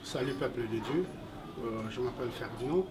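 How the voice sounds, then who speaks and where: A man from Belgium giving a slow, hesitant testimony, full of pauses. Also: medium pitch. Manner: slow, hesitant, many fillers. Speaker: visiting church member giving testimony. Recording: distant mic, background noise.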